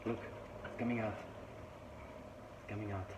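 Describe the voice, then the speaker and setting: Croaky guy with a low voice talking slow through a fix outdoors, loud noise behind him. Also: level tone. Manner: halting, plain-spoken explanations with restarts. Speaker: boat owner showing a repair. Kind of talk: casual how-to demonstration.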